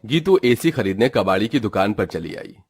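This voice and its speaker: A man's voice: on the low side, animated, normal speed, breathy edge, faint music behind. A man narrating a scripted moral story for a cartoon.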